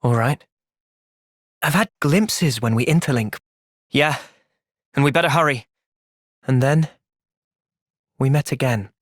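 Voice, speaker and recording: A young man acting an earnest, thoughtful soldier hero in a video game cutscene, speaking in a measured, sincere way. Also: on the high side, fairly bright tone, dry recording, clean studio sound, full character voice.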